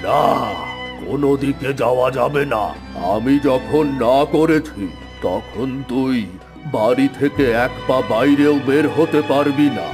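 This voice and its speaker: A man's voice: medium pitch, unhurried, over music, lightly acted. A man playing a menacing, grave-voiced old wizard. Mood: angry, threatening. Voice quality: grave, weighty voice. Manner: scolding, threatening, commanding. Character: stern, menacing old male wizard captor.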